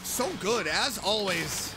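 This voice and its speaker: A woman giving casual, slangy gameplay commentary as a streamer, animated and quick to exclaim. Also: mid-range voice, poor audio. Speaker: streamer, game player. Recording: game music behind the voice. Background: video game music.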